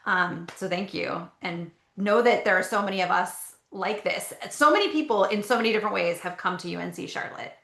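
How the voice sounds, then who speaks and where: A woman's voice: medium pitch, a little fast, creaky, hardly any pauses, fairly bright tone, roomy sound. A woman in her forties, a doctoral student on a panel, speaking in an upbeat, enthusiastic way over a video call. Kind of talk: casual panel chat.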